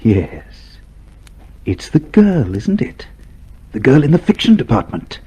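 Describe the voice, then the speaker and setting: Sly inner voice, very husky and breathy, swooping intonation, goading, radio play. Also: male voice, medium pitch, sing-song, slow, mellow, full character voice. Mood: needling. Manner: insinuating, goading. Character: clerk's needling inner voice, a sly male alter ego. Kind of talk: radio drama acting.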